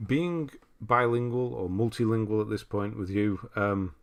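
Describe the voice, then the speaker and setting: A man's voice: on the low side, unhurried. A man hosting a casual livestream, rambling and thinking aloud as he reads out messages and comments on lists. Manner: rambling, thinking aloud, often trailing into asides. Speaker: livestream host. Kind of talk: casual live chat, reading out viewer messages and commenting on game lists.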